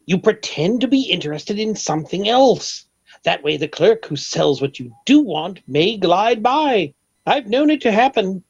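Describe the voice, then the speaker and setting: A grandiloquent, obsessive rich eccentric in an old-time radio comedy. The voice sits fairly high and swings dramatically through flowery, formal phrases. The recording is dull and dated. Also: male voice, sing-song, unhurried, breathy edge, exaggerated voice. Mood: grandly condescending. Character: pompous eccentric millionaire, obsessed bird egg collector.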